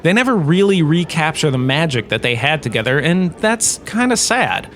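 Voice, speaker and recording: A man playing a self-regarding, jokey professor giving a scripted comedic lecture with theatrical storytelling pauses. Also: on the high side, big pitch swings, a bit bright. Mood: earnest storytelling. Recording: clearly noisy background ambience.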